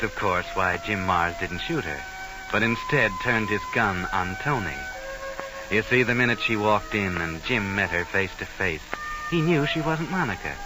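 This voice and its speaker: Male actor as ominous radio storyteller, deep-leaning voice, sardonic, deliberate, 1940s mystery broadcast, prominent musical backing. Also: natural voice, rough recording. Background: dramatic music.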